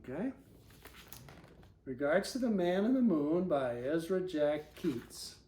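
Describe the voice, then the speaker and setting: A woman's voice: low voice, very animated, slow, warm tone. A woman reading a children's picture book aloud, breaking off to chat and put questions to her young listeners. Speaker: storybook reader.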